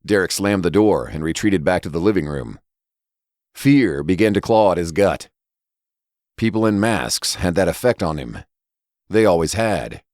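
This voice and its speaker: Man, fiction narration, deep pitch, close dry sound, deliberate and expressive. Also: dry recording. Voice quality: low-pitched voice. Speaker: story reader and podcast narrator. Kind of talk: scripted fiction narration, audiobook reading.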